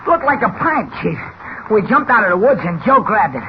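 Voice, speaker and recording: An actor playing a cringing gangster henchman, out of breath and pleading roughly in 1940s radio style. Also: high-pitched, very animated, fairly quick. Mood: breathless, defensive. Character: Lefty, winded, cringing gangster henchman reporting to boss. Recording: noisy, muffled old radio recording.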